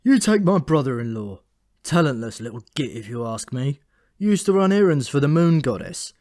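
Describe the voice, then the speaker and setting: A man's voice: mid-range voice, sing-song, moderate pace, darkish tone, dry recording, studio quality, close to an everyday voice. A man voicing a short-tempered, world-weary male raven with a blunt, irritable, colloquial manner, in a comic fantasy audiobook. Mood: fed up.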